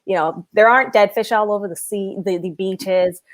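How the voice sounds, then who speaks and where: A woman with a Barbadian accent answers interview questions over a video call. She speaks earnestly and thinks aloud, restarting phrases and filling pauses with "um." Her voice sits at a middle pitch. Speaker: artist guest.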